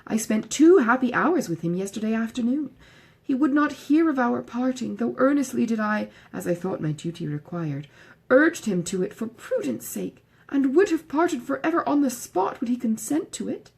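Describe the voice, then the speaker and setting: A woman's voice: fairly high pitch, animated, moderate pace, creaky, breathy edge, heavily acted. A woman voicing an ingratiating, self-satisfied young woman's letter in an audiobook, effusive and earnest.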